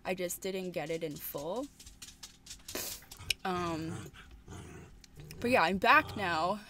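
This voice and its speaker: A woman's voice: lowish voice, slow, noisy background. A young adult woman with a stuffed-up, sniffly voice chatting casually to camera at her bedroom desk. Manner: easygoing, with fillers. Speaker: vlogger.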